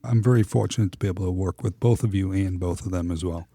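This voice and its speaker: The man at the head of a podcast table, casually putting questions to guests in a mellow voice with no room echo. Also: low-pitched, quick pace, clean studio sound. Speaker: podcast host. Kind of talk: casual interview.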